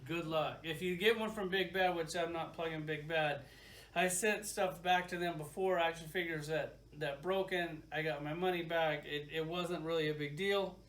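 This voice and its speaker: A man's voice: on the high side, steady tone, normal speed, a bit bright. A man giving a casual, opinionated product review in an informal, rambling way. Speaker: toy reviewer.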